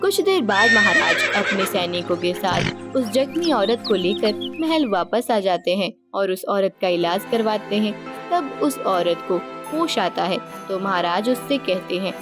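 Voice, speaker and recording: A woman's voice: mid-range voice, lively intonation, a little slow. A woman narrating a scripted cartoon story. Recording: music under the voice. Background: background music.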